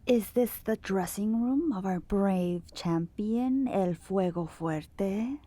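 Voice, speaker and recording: Slow, seductive vampire queen. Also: mid-range voice, dark tone, no echo. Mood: sly, taunting. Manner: slow, taunting, teasing. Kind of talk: audio drama acting.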